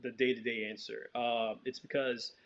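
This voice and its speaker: A man's voice: medium pitch, flat delivery, normal speed. Man from Haiti with muffled sound. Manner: thoughtful, hesitant with many fillers and restarts. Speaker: filmmaker. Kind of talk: interview answers.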